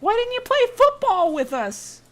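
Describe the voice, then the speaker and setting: A man voicing a loud, teasing, greedy teenage girl in an amateur visual novel dub. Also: very high-pitched, very animated, normal speed, pitched above the performer's own voice. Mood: pouty, demanding.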